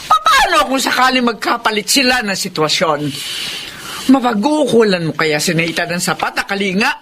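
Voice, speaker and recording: A woman's voice: mid-range voice, big pitch swings, moderate pace, noisy background, close to an everyday voice. A woman playing an older grandmother in a radio drama, concerned, indignant and reproachful as she stands up for her grandson. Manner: scolding, indignant.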